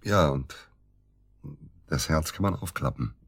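An actor playing a bitter, agitated middle-aged widower in a naturalistic radio drama, halting and repeating himself for emphasis. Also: male voice, extremely low pitch, animated, slight background noise. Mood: uneasy, confiding.

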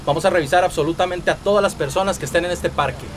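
A man plays a bullying Costa Rican police officer in a radio drama. He barks commands rapidly in a high voice, with harsh authority. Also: close to an everyday voice. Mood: aggressive. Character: bullying, abusive police officer barking orders.

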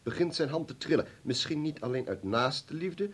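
An actor playing a firm, argumentative party comrade in a radio play. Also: male voice, fairly high pitch, expressive, moderate pace, breathy edge. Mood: firm, persuasive. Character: male political comrade, arguing firmly with the professor.

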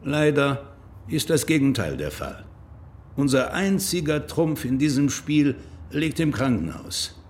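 A man's mid-pitched voice as a grave Belgian official in a radio crime drama. He speaks formally and deliberately, pressing his point. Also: big pitch swings, slight background noise. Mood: formal pressure. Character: grave, insistent male Belgian government official. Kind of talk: naturalistic radio drama acting.

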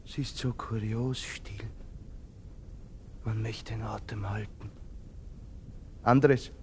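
An actor playing a poor, humble soldier in a radio drama, haunted and fearful, with halting, plain folk speech. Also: male voice, fairly low pitch, fairly even intonation, darkish tone, a little hiss or hum. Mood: fearful awe.

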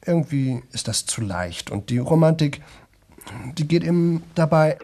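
A man's voice: on the high side, expressive, normal speed, mellow. An actor playing a boastful young man who talks nonstop to an audience in a radio play, chatty, rambling and repetitive. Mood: smug. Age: young adult.

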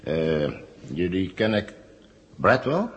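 An actor playing a smug, condescending villain in command in a vintage Dutch radio play. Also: male voice, sits low, sing-song, takes it slowly, exaggerated voice. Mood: smug, mocking. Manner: mock-friendly, condescending.

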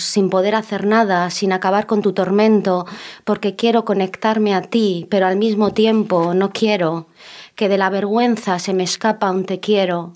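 A woman's voice: mid-range voice, a little mellow. Woman reciting solemn spoken-word poetry. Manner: solemn, rhythmic recitation with refrains. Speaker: poet reciting her own poem.

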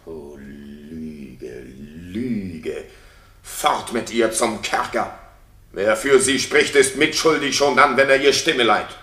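Jealous king, sharp and forceful. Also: on the low side, lively intonation, slow pace, reverberant, heavily acted. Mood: harsh, commanding. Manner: declamatory, scornful, cutting. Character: jealous, raging king accusing his wife. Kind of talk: classical German radio play stage acting.

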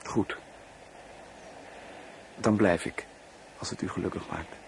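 Radio drama: reserved, courteous young guest, wary and measured, creaky voice, faintly noisy recording. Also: male voice, deep voice, fairly even intonation, full character voice. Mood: measured, hesitant. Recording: radio play with faint background noise.

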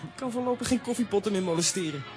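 A man's voice: sits high, lively intonation, racing, over music. An actor playing an earnest young boy hero in a children's radio play.

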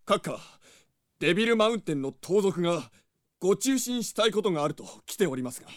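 An actor playing a deferential, nervous soldier reporting formally in a fantasy audio drama. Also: male voice, high-pitched, animated, fairly quick, no room sound, studio quality. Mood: anxious, deferential.

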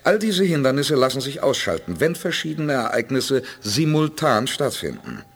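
An actor playing a determined, confident space expedition commander in a radio drama, authoritative and persuasive. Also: male voice, medium pitch, sing-song, moderate pace, slightly rough. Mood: confident explaining. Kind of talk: 1980s German radio drama acting.

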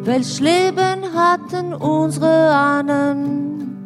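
A woman singing a slow song in a radio play. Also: high voice, fairly even intonation. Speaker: singer. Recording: voice over plucked guitar music.

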